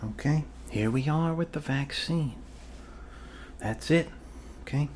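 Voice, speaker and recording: A man's voice: fairly high pitch, slow, darkish tone, a little hiss or hum. A man improvising an ASMR roleplay as a goofy, reassuring doctor, rambling casually and joking as he goes.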